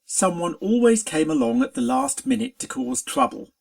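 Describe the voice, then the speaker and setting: Story narrator, dramatic and expressive, very lively intonation with a creaky edge. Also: mid-range voice, moderate pace. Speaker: fan fiction reader.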